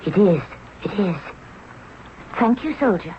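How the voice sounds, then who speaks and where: A woman's voice: medium pitch, lively intonation, unhurried, close to an everyday voice. An actress playing a spirited, girlish and playful young Egyptian princess in a radio drama. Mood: wondering. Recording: dull, noisy old radio broadcast.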